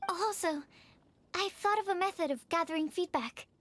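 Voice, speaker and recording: Earnest, very high-voiced young alchemist. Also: female voice, moderate pace, a bit bright. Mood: businesslike. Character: earnest, shy young female alchemist, polite and bookish. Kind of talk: video game cutscene dialogue. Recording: faint music behind the voice.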